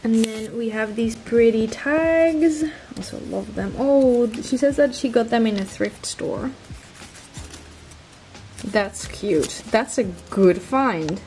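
A young woman whose first language is Dutch, chatting excitedly in English as she opens mail at her desk at home, often breaking off and restarting. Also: fairly high pitch, takes it slowly, soft background music. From Netherlands. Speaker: stationery vlogger. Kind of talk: casual unboxing chat.

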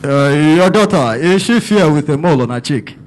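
A man's voice: on the high side, expressive, normal speed, close to an everyday voice. A Nigerian man playing a police inspector on the phone, speaking hesitantly with many fillers. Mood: calm.

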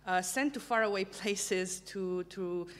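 Halting woman giving a gallery talk. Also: medium pitch, fairly bright tone. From Romania. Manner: halting, many fillers, earnest. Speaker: curator giving a book talk. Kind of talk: lecture presentation. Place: small gallery room, indoors. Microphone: table microphone on stand.

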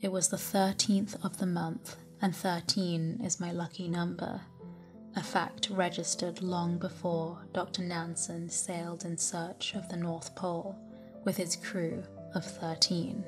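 A woman narrating a classic sailing memoir as a sleep story, reading slowly and softly and dropping into whispers at times. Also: fairly low pitch. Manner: slow, soft, calm reading with whispered stretches. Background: soft music.

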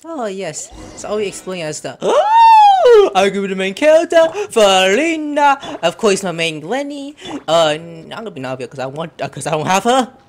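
A woman's voice: mid-range voice, wildly swooping pitch, moderate pace, hardly any pauses, background noise. A woman gamer giving casual let's play commentary, joking, swearing and reacting aloud. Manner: jokey, profane, reacting aloud. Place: indoor room at a gaming PC. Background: game music.

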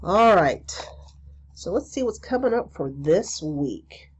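A woman in her forties with a stuffy cold, hosting a casual spiritual podcast from a small room, chatty and full of ums and pauses. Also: lowish voice, sing-song, dull audio, a little hiss or hum. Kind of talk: casual solo podcast talk.

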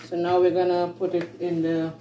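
A woman's voice: fairly low pitch, monotone, recorded from a distance, very noisy. A woman hosting a home cooking video, talking through the steps plainly with fillers in her kitchen. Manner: unhurried, plain step-by-step talk with fillers. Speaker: home cooking channel host. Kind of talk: casual cooking demonstration. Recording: roomy kitchen, voice off-mic, faint music.

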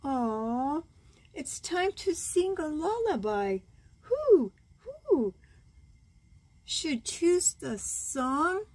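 A woman in her sixties reading a bedtime storybook aloud as a grandmother, gentle and expressive, cooing over the pictures. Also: high voice, drawn-out words. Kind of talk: read-aloud bedtime story with side comments. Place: small room at home, indoors.

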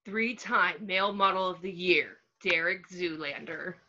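A woman playing a scheming, high-strung fashion designer and awards announcer in a comedy script read over video call. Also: steady tone, unhurried, pushed and bright, heavily acted. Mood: showy announcing. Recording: dull, lo-fi video call audio.

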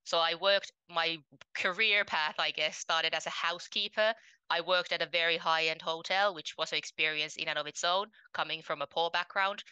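A young woman from Finland with a low voice that sits in a narrow band. Her tone is bright and very clean, recorded dry and close on a headset. She tells her story earnestly and plainly, with lots of ums. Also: fairly even intonation, normal speed, smooth, dry recording. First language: Finnish. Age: 20s. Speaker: podcast guest. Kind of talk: casual interview talk. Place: home room, indoors.

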